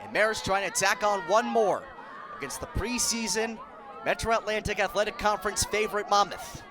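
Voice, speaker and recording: A man in his twenties calling live sports play-by-play in energetic, clipped phrases. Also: high-pitched, a little fast. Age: 20s. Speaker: play-by-play announcer. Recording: busy game crowd behind. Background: sports crowd and court noise.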